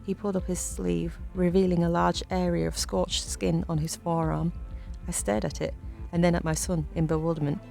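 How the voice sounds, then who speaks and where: A woman's voice: fairly low pitch, little pitch movement, normal speed, gravelly, warm tone. A woman narrating a scripted horror story in the first person. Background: faint music.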